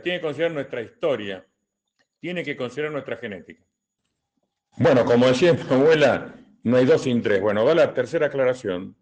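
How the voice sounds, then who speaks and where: A man's voice: mid-range voice, darkish tone. Older Argentine man giving didactic commentary. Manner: didactic, deliberate, emphatic with repetition. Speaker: retired colonel and political commentator. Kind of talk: spoken political commentary answering listener questions.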